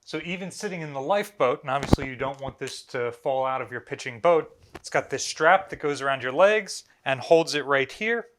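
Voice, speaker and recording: An American man in his thirties hosts an informal explainer. His voice sits at a middle pitch with a bright, forceful edge. He talks casually to the camera, with a wry, dry sense of humour. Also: lively intonation, fairly quick, hardly any pauses. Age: 30s. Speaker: museum video host. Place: small bare indoor room.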